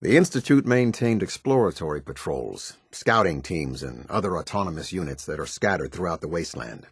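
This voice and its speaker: Husky, low-voiced stern military officer. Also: male voice, lively intonation, dry recording, studio quality, heavily acted. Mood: measured, grave briefing. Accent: American. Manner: clipped, authoritative, measured military formality. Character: stern, formal male military officer of a post-apocalyptic order. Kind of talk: video game character dialogue.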